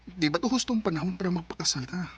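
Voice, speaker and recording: An actor with a Cebuano Filipino accent playing an earnest, apologetic young sweetheart in a radio drama, with a husky voice. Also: male voice, high-pitched, expressive, fast talker, fairly bright tone, no echo, lo-fi sound, heavily acted. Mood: pleading, tender. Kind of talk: Bisaya radio drama acting.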